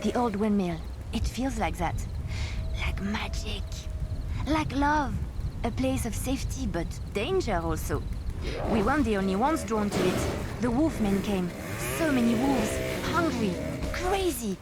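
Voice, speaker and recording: A romantic young French woman with a high voice and a French accent. She muses dramatically, tough yet dreamy, as in a video game. Clear background noise sits behind her. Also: animated, measured pace. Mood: dreamy, intense. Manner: musing, dramatic, slipping into French. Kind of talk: video game character dialogue.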